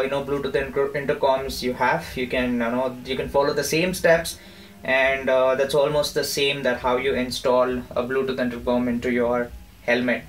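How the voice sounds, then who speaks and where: A man's voice: mid-range voice, poor audio. A man with a South Indian accent chatting casually as he gives a hands-on installation walkthrough in a homemade vlog. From Bangalore, India. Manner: chatty, mixes in Kannada phrases. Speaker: motorcycle vlogger.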